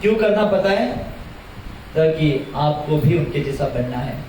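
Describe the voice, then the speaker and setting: A young man with a high voice gives a lively religious talk to an audience. He asks questions and repeats his key phrases for emphasis. He sounds far from the mic, and his voice rings through a very echoey hall. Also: measured pace, slight background noise. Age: young adult. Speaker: religious teacher. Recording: very echoey hall, heard from afar.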